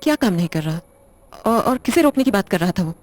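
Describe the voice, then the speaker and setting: A woman's voice: medium pitch, big pitch swings, quick pace, lightly acted. A woman playing a blunt, controlling widowed wife in an audio drama, speaking in a curt, sardonic, interrogating way. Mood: shocked, demanding. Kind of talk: Hindi audio drama acting.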